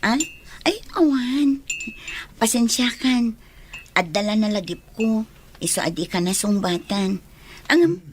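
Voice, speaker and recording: A woman's voice: on the high side, expressive, measured pace, pauses often. An actress playing a troubled, evasive young single woman in a radio drama, with a Filipino accent. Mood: flustered. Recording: noticeable background noise.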